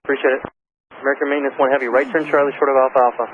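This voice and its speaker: A man's voice: medium pitch, little pitch movement, very fast. A man working as an air traffic controller, giving clipped instructions over an aviation radio. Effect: aviation radio transmission. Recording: tinny, narrow-band radio with static noise.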